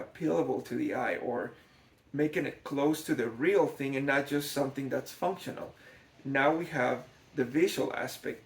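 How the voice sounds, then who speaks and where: A man from Guatemala gives an unscripted documentary-style explanation, thoughtful and hesitant, often repeating words as he goes. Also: mid-range voice. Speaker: scale model builder, documentary narrator. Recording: echoey, reverberant room.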